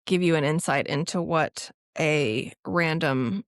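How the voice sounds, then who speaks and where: A young woman co-hosting a podcast, telling a story in an animated, casual way with laughs. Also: sits low, slow pace, dry recording, studio quality. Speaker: podcast co-host. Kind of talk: casual chat.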